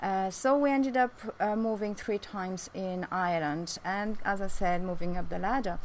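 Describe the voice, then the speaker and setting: A woman in her forties, a native French speaker with a French accent, telling her life story casually to camera from a rooftop, halting with many fillers. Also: medium pitch, a little hiss or hum. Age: 40s. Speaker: vlogger. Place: outdoors on a rooftop.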